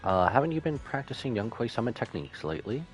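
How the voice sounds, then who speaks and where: A man's voice: mid-range voice, very animated, quick pace, natural voice. A man reading the lines of an earnest, grateful, self-doubting young woman from an anime game. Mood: sincere. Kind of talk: reading game dialogue aloud. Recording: game music behind voice.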